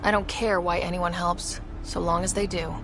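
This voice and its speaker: An actress playing a resolute young explorer and leader in a space game, direct and firm. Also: female voice, lowish voice, flat delivery, moderate pace, natural voice. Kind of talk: video game cutscene acting.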